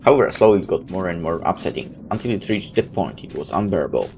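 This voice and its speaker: A man's voice: deep voice, animated, fast talker. A man reading a visual novel aloud like a dramatic storyteller, tossing in his own asides. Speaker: let's player. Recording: clear background noise.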